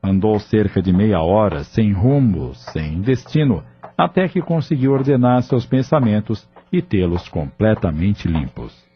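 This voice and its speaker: A man with a low voice narrates an audio novel in a measured, solemn way. The recording is dull and lo-fi, with soft music running underneath. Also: sing-song.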